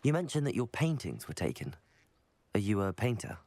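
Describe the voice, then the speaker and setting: A man's voice: lowish voice, very animated, normal speed, a little mellow. A man playing a polite, curious young student hero in a video game, with a courteous manner. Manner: courteous, inquisitive. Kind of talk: video game character acting.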